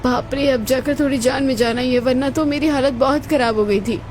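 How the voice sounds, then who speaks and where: A woman's voice: high-pitched, steady tone, a little fast, a little mellow, a little hiss or hum, close to an everyday voice. A woman playing a capable, long-suffering young daughter-in-law in a cartoon story. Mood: overheated, exasperated.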